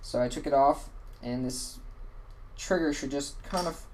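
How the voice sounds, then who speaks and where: A man's voice: medium pitch, a little slow, darkish tone, a little hiss or hum. A man hosting a casual how-to walkthrough, talking in a rambling, offhand way and correcting himself often, his voice tired and a little worn. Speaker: tutorial host.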